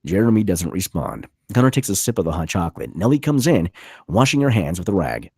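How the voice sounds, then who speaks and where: A man's voice: low-pitched, sing-song, a little fast, a little mellow, breathy edge, no room sound, studio quality. A man narrating a screenplay at a table read, reading out scene headings and stage directions.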